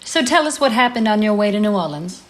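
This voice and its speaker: An actress playing a businesslike interrogator in an audio play, asking direct, formal questions. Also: female voice, mid-range voice, lively intonation, fast talker, lightly acted. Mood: probing. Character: probing female interrogator, businesslike. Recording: echoey room.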